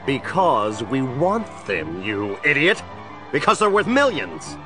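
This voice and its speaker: An actor playing an obsessive, unhinged crime boss with a split personality, frantic and menacing, in an audio drama. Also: male voice, medium pitch, huge pitch swings, slow, a bit bright, faint music behind, full character voice. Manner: frantic, menacing, calls himself "we".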